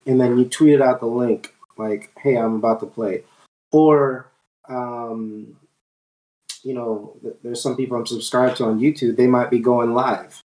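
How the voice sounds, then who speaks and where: A man on a podcast panel chatting casually, thinking aloud in halting phrases. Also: mid-range voice, darkish tone. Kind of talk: casual chat. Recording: roomy, echoing mic.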